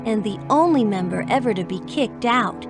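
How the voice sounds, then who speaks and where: A woman voicing a determined, spirited young girl adventurer in a cartoon computer game, brisk and earnest. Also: a little high, animated, music in the background.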